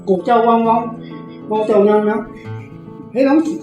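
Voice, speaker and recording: A high-pitched man talks casually in a group with a Taiwanese accent. He sounds distant and swamped in room echo, with faint guitar behind. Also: a little slow. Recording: very echoey, distant, faint music. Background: faint guitar music.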